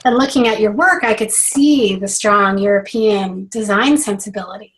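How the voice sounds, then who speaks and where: A middle-aged woman with a hoarse voice from a cold, hosting a casual branding call and chatting with many fillers and restarts. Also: medium pitch, moderate pace, fairly bright tone, low-quality recording. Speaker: branding coach hosting a clinic.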